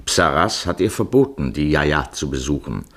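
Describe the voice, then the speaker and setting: Male radio drama narrator, deep register, measured and matter-of-fact literary read. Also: sing-song, a bit bright.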